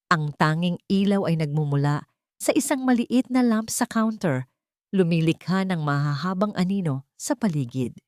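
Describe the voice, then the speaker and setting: A Filipino woman narrates a dramatic story with a lot of feeling. Her voice sits a little low and moves widely up and down in pitch. The recording is close and dry, with no room sound. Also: lively intonation, normal speed, a little mellow, no echo. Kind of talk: scripted dramatic audio story narration in Tagalog.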